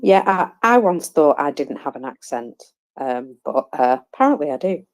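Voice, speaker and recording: Deep-voiced woman, lots of um and uh, dry humor, casual voice chat. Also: very animated, moderate pace. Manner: hesitant, many ums and uhs, dry wit. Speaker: panelist. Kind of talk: casual chat on a live audio space.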